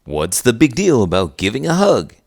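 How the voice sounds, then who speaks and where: A man's voice: mid-range voice, wildly swooping pitch, normal speed, creaky, darkish tone, dry recording, clean studio sound, pitched above the performer's own voice, exaggerated voice. A man voicing a cheeky, curious talking horse who reads a children's storybook aloud in a theatrical way. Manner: theatrical, exaggerated.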